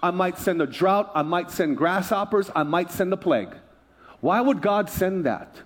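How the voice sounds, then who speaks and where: A man's voice: high voice, moderate pace. A man from Hawaii preaching a sermon as a pastor, emphatic, repeating phrases and prompting his listeners. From Hawaii, United States.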